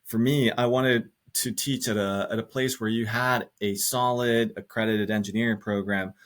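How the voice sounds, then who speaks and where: Middle-aged male podcast guest, Costa Rican-raised, fairly deep register, sincere, thinking out loud. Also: steady tone, moderate pace. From Costa Rica. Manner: earnest, thinks aloud with repeated words. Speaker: podcast guest, engineering professor. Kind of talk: reflective conversational interview.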